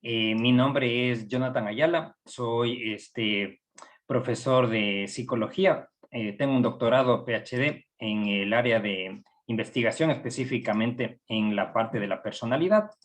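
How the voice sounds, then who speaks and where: Man, Ecuadorian accent, middle-aged, university lecturer, measured delivery, frequent fillers, mid-pitched voice. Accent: Ecuadorian Spanish. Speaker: psychology professor giving a training session. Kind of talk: recorded online lecture.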